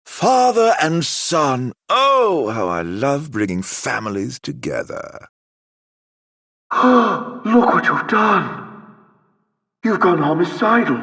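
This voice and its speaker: Casting: flamboyant, cruel game villain, mock-courteous and very unhurried, with a gravelly creak and theatrical drawl. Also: male voice, fairly high pitch, fairly bright tone, dry recording, clean studio sound, exaggerated voice. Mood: gleeful taunting. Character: theatrical, mocking, silver-tongued male villain with a cruel streak. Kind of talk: theatrical video game voice acting.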